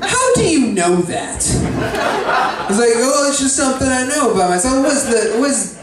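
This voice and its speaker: A man's voice: very high voice, very animated, moderate pace. A man doing profane stand-up comedy on a pub stage, ranting and laughing at his own jokes. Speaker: stand-up comedian and guest host. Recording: echoey pub, distant, crowd noise.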